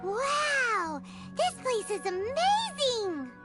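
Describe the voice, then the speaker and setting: A tiny, childlike fairy pipes up in an extremely high, squeaky voice that bounces around excitedly. Loud game music plays behind it. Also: female voice, drawn-out words, exaggerated voice. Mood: amazed, curious. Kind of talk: video game character dialogue.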